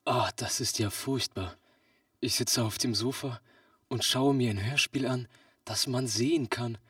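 A man's voice: mid-range voice, unhurried, no room sound, studio quality, recorded from a distance. Man as a naive, easily amazed customer. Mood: alarmed. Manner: wide-eyed, puzzled, loudly astonished. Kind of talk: comic sketch audio play.

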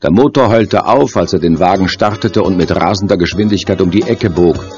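A man's voice: deep voice, brisk, a little mellow, faint music behind. A man narrating an audio drama from a script in a dramatic storytelling style. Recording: dry, slightly dull studio audio.

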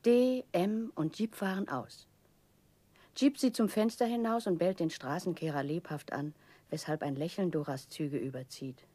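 A woman's voice: fairly low pitch, mellow, breathy edge. An actress in a German radio play playing a sentimental, melodramatic young woman who solemnly reads clipped, poetic entries from her diary. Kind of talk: 1960s German radio drama acting.

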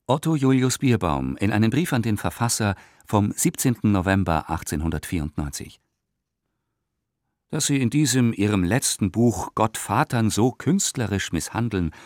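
A man giving a theatrical, deliberate reading of letters and quotations for a radio play. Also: lowish voice, darkish tone, no room sound. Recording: dry studio radio production with music.

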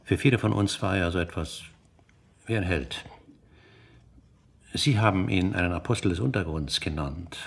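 A deep, gravelly elderly scholar. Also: male voice, exaggerated voice. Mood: solemn, fervent. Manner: measured, earnest, solemn. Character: fervent elderly scholar and father, loyal to freedom. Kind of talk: radio drama acting.

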